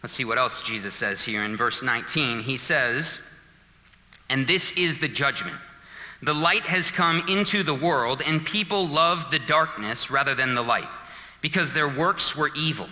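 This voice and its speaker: A man's voice: mid-range voice, lively intonation, measured pace, sharp tone, big-room sound. A man in his thirties preaching a sermon, telling a personal story and building to fervent, emphatic appeals. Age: 30s. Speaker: pastor.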